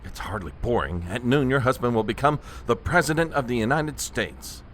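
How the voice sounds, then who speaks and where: A male actor plays a prim, nervous political aide in a comic audio drama. His formal speech trips into stammers and his pitch leaps about in a lively way. There is faint noise behind him. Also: moderate pace, close to an everyday voice. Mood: exasperated. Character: flustered, proper male chief of staff. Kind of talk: comedic audio drama acting.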